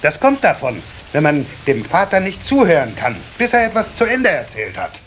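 A man's voice: on the high side, normal speed, music in the background. A man playing a good-humoured, easygoing water-sprite father in a children's radio play. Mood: amused. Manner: calm, amused, explanatory. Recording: cassette recording with faint hiss.

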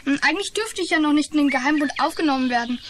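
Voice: high-pitched, very fast, close to an everyday voice. A performer playing a naive, secretive schoolboy with a hesitant manner in a children's radio play. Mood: defensive. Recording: noisy radio play with birdsong.

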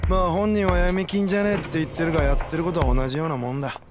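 A man's voice: a little high, steady tone, racing, music in the background, natural voice. A man voicing a matter-of-fact, wary yakuza member in a Japanese video game, speaking in a street-wise way. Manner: blunt, explanatory, rough casual speech.

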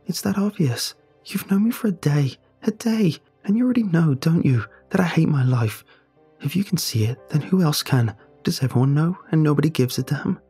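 A man's voice: medium pitch, unhurried, darkish tone, breathy edge, soft background music, voice pushed up. A man voicing a distraught teenage schoolgirl who sounds tearful and choked as she speaks.

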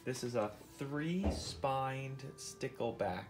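Slow-paced man reading aloud. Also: medium pitch, lively intonation, over music. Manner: explains and asks questions as he reads. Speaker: father reading aloud to his child. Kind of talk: interactive read-aloud of a nonfiction picture book.

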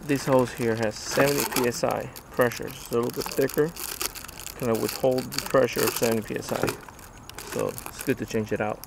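A man's voice: medium pitch, steady tone, a little fast, creaky, heavy background noise. A man giving a casual step-by-step how-to on his boat outdoors, talking plainly with fillers. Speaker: DIY boat video host.

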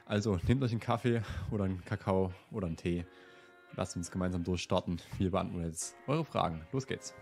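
A man in his twenties with a slight cold, hosting a relaxed question-and-answer vlog in a chatty, easygoing way. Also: low-pitched, a little fast, vocal fry, faint music behind. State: slightly ill. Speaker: host. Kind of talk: casual Q&A vlog. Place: indoor room with plant wall and lit shelves.

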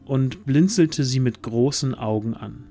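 A man's voice: mid-range voice, a little slow, mellow, soft background music. A man narrating a scripted fantasy audio drama as storyteller.

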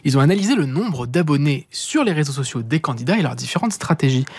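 A young man presenting a lively web-news column in a radio studio, close to a studio mic. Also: a little high, quick pace, husky. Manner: lively, talks with his hands. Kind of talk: radio chronicle, casual banter. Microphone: studio mic with headphones.

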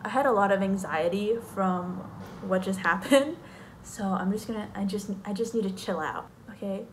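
A woman's voice: medium pitch, normal speed, a little hiss or hum. A young woman chatting casually to her phone camera, bubbly and full of fillers, in her bedroom and then a parked car. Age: young adult. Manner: bubbly, chatty, lots of fillers, laughs often. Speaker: vlogger. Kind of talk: casual vlog chat. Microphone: phone camera at arm's length.

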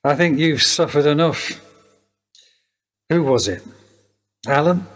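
Radio play role: world-weary detective sergeant nearing retirement, dry, fairly high-pitched male actor. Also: takes it slowly. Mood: dry, knowing. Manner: dry, understated. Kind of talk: naturalistic radio drama acting.

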